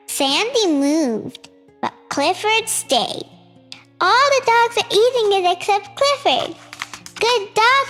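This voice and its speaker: Very high, childlike storybook reader in reverb. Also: a bit bright. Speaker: storytime host reading aloud. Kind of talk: read-aloud children's storybook narration. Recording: reverberant hall sound with music. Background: music.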